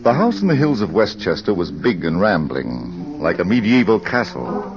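A man playing a mysterious crime-club librarian, narrating in a theatrical, measured, confiding 1940s radio mystery style. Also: deep voice, very animated. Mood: suspenseful storytelling. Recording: muffled, hissy old radio recording.

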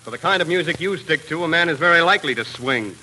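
A man delivering dry, pompous wisecracks on a crackly old radio broadcast. His voice sits a little high and swoops lively up and down, with steady hiss behind it. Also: quick pace. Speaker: announcer and comic foil. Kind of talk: 1940s radio comedy banter.

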